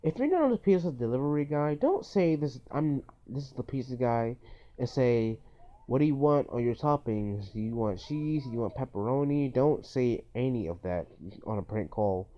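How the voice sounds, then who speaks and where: A man's voice: medium pitch, animated, normal speed. A man giving informal list-style advice as a video host, in an earnest, cautionary manner with repetitive phrasing. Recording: dull, lo-fi phone recording.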